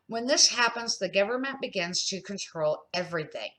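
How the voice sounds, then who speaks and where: Woman voicing a kindly storybook man, earnest teacher to children, emphatic delivery with lively intonation. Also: mid-range voice, normal speed, rough recording. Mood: earnest, instructive. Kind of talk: children's picture-book read-aloud.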